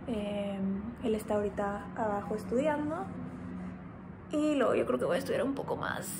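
A woman's voice: on the high side, background noise. A young woman with a Mexican accent, talking casually to her phone while she walks across campus, upbeat and full of fillers. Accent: Mexican Spanish. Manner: chatty, upbeat, many fillers while walking. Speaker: vlogger. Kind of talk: casual vlog chat. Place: outdoors on a campus while walking, later a home kitchen. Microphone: phone at arm's length.

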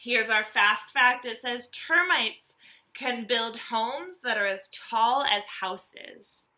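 Bright, slow-paced woman teacher. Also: fairly high pitch. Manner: animated, deliberate enunciation, addressing young children. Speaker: elementary school teacher. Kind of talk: children's nonfiction read-aloud lesson.

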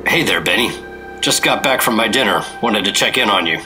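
A guarded, deadpan secret agent of the 1950s in a noir radio play. He has a low voice and clipped, terse phrasing, with music clearly audible behind him. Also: brisk. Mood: wary, deadpan. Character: wary, terse 1950s male secret agent narrating his case. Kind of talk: noir radio drama narration and dialogue.

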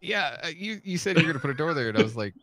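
A man's voice: fairly high pitch, sing-song, racing, a bit bright, no echo. A man streaming a co-op survival game, chatting casually with jokey outbursts and laughs. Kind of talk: casual co-op gaming chat.

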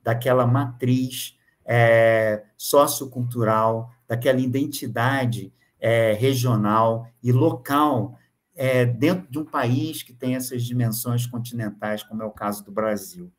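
A man's voice: mid-range voice, slow pace. Older man lecturing over a video call. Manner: hesitant, many filler sounds and restarts. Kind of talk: online academic lecture. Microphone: video call webcam.

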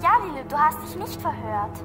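An actress playing an excitable, curious young girl detective in a German children's radio play, telling things earnestly. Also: high-pitched, fairly quick, music in the background. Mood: agitated. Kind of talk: German children's audio drama acting.

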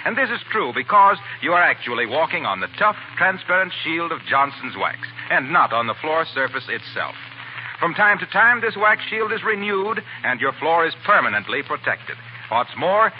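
Lively vintage American male radio announcer. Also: a little high, dull audio, a little hiss or hum. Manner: polished, persuasive. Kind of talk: 1940s scripted radio advertising. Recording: band-limited 1940 radio broadcast.

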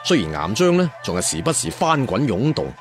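A man's voice: mid-range voice, very animated, a little fast, gravelly. A man with a Hong Kong Cantonese accent narrating a story dramatically and colloquially, chatting to listeners with rhetorical asides. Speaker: audiobook storyteller. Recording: music bed behind the voice.

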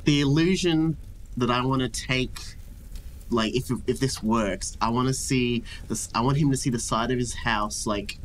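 A man's voice: medium pitch, moderate pace, slight background noise, poor audio. A man playing a tabletop game, improvising his character's moves in casual chat.

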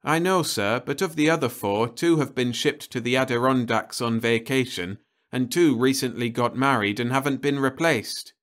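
A man's voice: medium pitch, moderate pace, fairly bright tone, pitched above the performer's own voice, lightly acted. A man voicing an earnest, polite young man who apologizes respectfully. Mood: apologetic.

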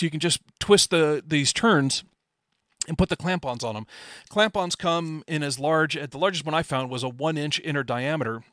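A middle-aged man with a slightly high voice walks through technical material as an amateur presenter. He is plain-spoken and informal, explaining step by step. The sound is fairly dry, with little room echo. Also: fairly bright tone.

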